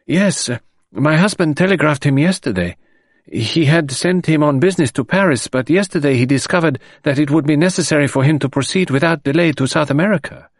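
A man's voice: on the high side, expressive, brisk, dry recording, clean studio sound, voice pushed up. A man voicing a dignified, grieving middle-aged French widow who speaks in a composed, formal, restrained way. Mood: earnest.

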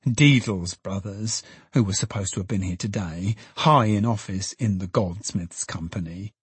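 A man voicing an agitated, secretive gentleman secretary in an audiobook, speaking gravely and deferentially. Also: on the low side, normal speed, dry recording. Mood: hushed shock. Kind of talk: dramatized audiobook character voice.

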